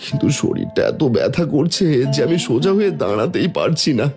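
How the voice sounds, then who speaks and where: Casting: male actor as honest, downtrodden village father; high, swooping, plaintive voice for a cartoon folk tale. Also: very animated, brisk, music in the background. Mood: sorrowful. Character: honest poor village labourer, wronged father, pained and dejected. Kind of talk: Bengali fairy tale cartoon dialogue.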